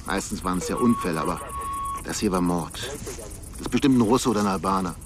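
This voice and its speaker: A man's voice: low voice, animated, fairly quick. A man playing a blunt, opinionated figure hardened to death in a radio play. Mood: grimly certain. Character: opinionated, blunt man used to finding corpses. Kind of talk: naturalistic radio play acting. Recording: clearly noisy outdoor ambience.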